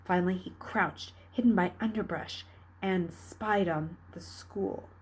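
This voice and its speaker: A woman reading a novel aloud as a storyteller, with dramatic, expressive delivery. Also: fairly low pitch, a little slow, husky. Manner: dramatic, expressive, with hushed dips and emphatic rises. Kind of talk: read-aloud storytelling narration.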